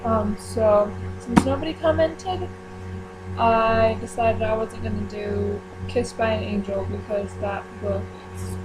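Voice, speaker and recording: Chatty high-voiced young woman, rambling. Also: steady tone, a little slow, over loud music, poor audio. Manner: chatty, rambling, frequent ums and self-corrections. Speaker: YouTuber running a book club. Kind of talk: casual chat to camera.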